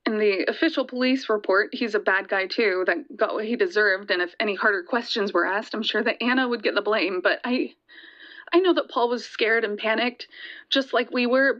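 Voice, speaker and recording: A woman playing a guilt-ridden young caller to a radio show, telling her story in a halting, earnest, emphatic way on a dull line. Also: fairly high pitch, a bit bright, lightly acted. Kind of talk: dramatic confessional storytelling in an audio drama.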